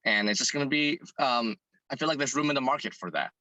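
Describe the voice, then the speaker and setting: A hoarse man answers an interviewer earnestly, working his thoughts out aloud with frequent restarts. His voice is bright and forward despite the hoarseness, recorded dry and close with no room sound. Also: medium pitch, fast talker, no echo, highs cut off. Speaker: fitness content creator and business owner. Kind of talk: interview guest answering questions.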